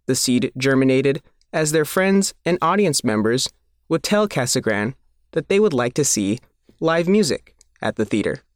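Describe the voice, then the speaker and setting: A man's voice: mid-range voice, big pitch swings, moderate pace, no room sound, clean studio sound. A man reading a scripted newspaper feature aloud as the reporter. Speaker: reporter reading their own article.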